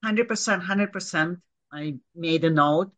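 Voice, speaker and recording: A woman speaks with a Russian accent and a naturally low voice. She gives direct, practical advice as a guest in an interview conversation. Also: unhurried, a bit bright. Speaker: guest, author.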